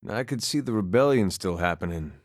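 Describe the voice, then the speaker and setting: An actor playing a wry, easygoing farmer and war veteran with a folksy, understated way of talking, in a video game. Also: male voice, lowish voice, lively intonation, fairly quick, dry recording, clean studio sound, close to an everyday voice. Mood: bitter, musing. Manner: folksy, understated, dryly humorous, drops words. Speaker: video game companion character. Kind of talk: video game voice acting, conversational dialogue.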